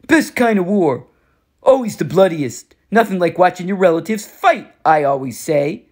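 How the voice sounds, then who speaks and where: A boastful male god of war with a taunting, cocky way of talking, as a woman reader puts him on. He sounds loud and pleased with himself, his pitch leaping around a lot. It is an audiobook character voice. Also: lively intonation, moderate pace. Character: smug, menacing adult male god of war.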